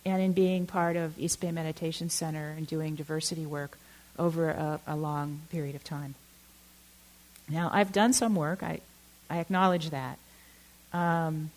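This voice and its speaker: A middle-aged woman from Oakland giving a reflective talk, halting and candid, with many fillers and self-corrections. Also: on the low side, a little mellow, poor audio. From Oakland, California. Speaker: dharma talk speaker.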